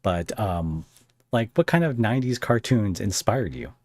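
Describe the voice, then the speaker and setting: A man with a low voice hosts a casual interview podcast. He phrases things haltingly, working out each thought as he speaks. The sound is dry, with very little room in it. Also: expressive, darkish tone, no echo. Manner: halting, thinking aloud while phrasing questions. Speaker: podcast host interviewing a guest.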